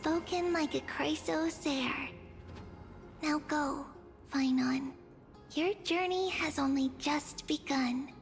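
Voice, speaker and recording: High-voiced, solemn little oracle. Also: female voice, slow pace, soft background music. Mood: encouraging. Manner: solemn, ceremonial, encouraging. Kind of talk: video game voice acting.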